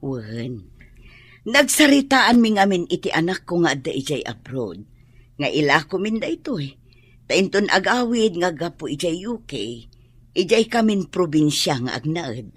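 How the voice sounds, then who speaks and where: An actress playing a practical older landlady and mother in a radio drama, with a husky voice and an Ilocano accent. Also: lowish voice, big pitch swings, unhurried, no room sound, full character voice. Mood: matter-of-fact.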